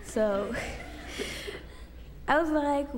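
A woman's voice: high voice, extremely slow. A young woman telling her church group a casual story as a student testimony, chatty and full of fillers. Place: church hall. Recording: long hall reverb, faint noise.

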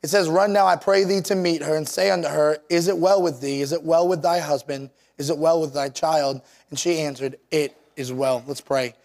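A man's voice: on the high side, fairly even intonation, normal speed, vocal fry, hardly any pauses, darkish tone. A man preaching and reading scripture in a plainspoken way, his voice a little scratchy and worn out. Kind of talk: sermon with scripture reading and prayer.

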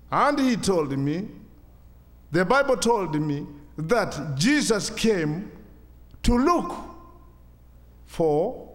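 A man in his sixties from Kenya with a Kenyan accent, a Swahili speaker giving a church testimony in halting, simple English. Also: high voice, big-room sound. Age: 60s.

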